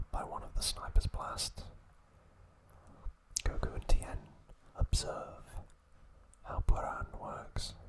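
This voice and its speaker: Slow, whispering male ASMR host. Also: rough recording. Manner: hushed, unhurried, pausing between short phrases. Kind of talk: whispered ASMR trivia recap.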